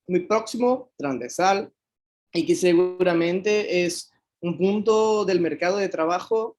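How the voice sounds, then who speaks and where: A Brazilian man, a native Portuguese speaker, giving an online lecture on environmental engineering in careful, hesitant second-language Spanish. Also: high-pitched.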